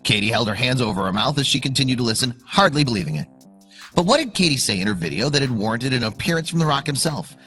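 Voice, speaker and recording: Animated male storyteller over background music. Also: medium pitch, quick pace. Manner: suspenseful, emphatic storytelling. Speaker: narrator. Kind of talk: scripted dramatic storytelling narration for online video. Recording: music under the voice.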